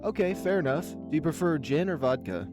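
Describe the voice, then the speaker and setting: A man's voice: a little high, lively intonation, a little fast, over music, pitched above the performer's own voice, close to an everyday voice. A man voicing a friendly, playful, obliging young bartender in a visual novel.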